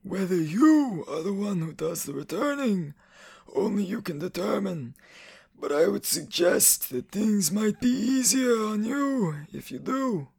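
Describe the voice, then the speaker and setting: A cruel, smug inhuman official for a fantasy audio drama. The voice is pitched high and creaks, swooping through formal, condescending threats with measured menace. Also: male voice, animated, darkish tone, heavily acted. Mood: menacing.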